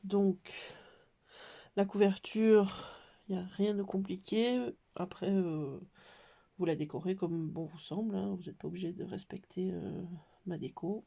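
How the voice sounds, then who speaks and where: Woman with a cold showing a craft album, lots of pauses and ums, mellow voice. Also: medium pitch, fairly even intonation, creaky. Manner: hesitant, many pauses and fillers. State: has a cold. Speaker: scrapbooking tutorial maker. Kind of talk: casual craft tutorial.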